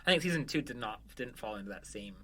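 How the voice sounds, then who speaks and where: A man's voice: mid-range voice, animated, fast talker, pushed and bright. A man co-hosting a podcast in casual banter, speaking informally and laughing easily. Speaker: podcast host, show creator.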